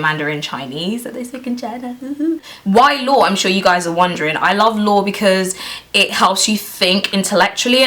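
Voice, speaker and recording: Chatty woman in her twenties, bright voice. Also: mid-range voice. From Nigeria. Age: 20s. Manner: chatty, lots of "like" and "you know", trails off quietly. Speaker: beauty vlogger. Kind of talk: casual to-camera chat.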